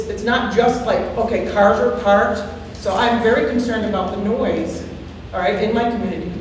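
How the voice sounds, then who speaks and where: A woman with a loud voice giving earnest, worried public comment at a town board hearing, repeating her points. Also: a little high. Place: large town meeting hall, far from the mic. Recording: echoey hall, distant mic, faint hiss.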